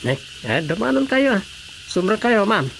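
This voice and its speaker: A woman playing a bemused village councilor with an Ilocano accent in a comic radio drama, grunting and halting. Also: medium pitch, big pitch swings, gravelly, slight background noise.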